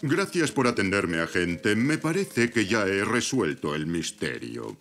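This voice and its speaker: An actor voicing a fussy, pompous grown-up zebra uncle in a dubbed cartoon. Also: male voice, on the low side, big pitch swings, a little slow, a bit bright, faint music behind. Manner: pompous, indignant.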